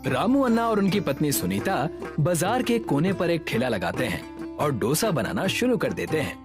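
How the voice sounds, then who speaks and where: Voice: medium pitch, sing-song, moderate pace. A narrator reading a scripted moral story for children. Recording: music behind the voice.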